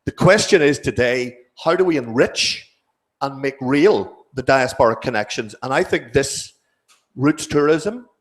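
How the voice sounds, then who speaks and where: A man offering reflective remarks at a conference panel, his voice at a middling pitch. He speaks in a measured way, now and then cutting off a word and starting it again. The sound comes through a table microphone in a large wood-panelled hall. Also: expressive. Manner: measured, thoughtful, occasional clipped restarts. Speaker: panel discussant.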